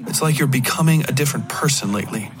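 A man voicing a menacing, contemptuous husband in a dark audio story, condescending, sneering and profane. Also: mid-range voice, fast, music in the background, close to an everyday voice. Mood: bitter contempt. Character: menacing, contemptuous, abusive husband with political ambitions. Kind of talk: dramatic audiobook character dialogue.

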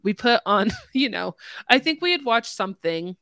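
A woman's voice: medium pitch, big pitch swings, moderate pace, a bit bright, dry recording. A woman hosting a casual podcast, chatting informally with the other speakers. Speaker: podcast host.

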